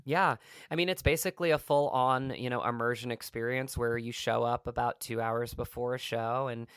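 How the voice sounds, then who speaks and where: A man's voice: medium pitch, fairly even intonation, moderate pace, fairly bright tone, smooth, no echo, studio quality. A man from rural Virginia, an actor guest talking in a reflective, rambling way with many fillers. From rural Virginia, USA. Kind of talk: casual interview talk.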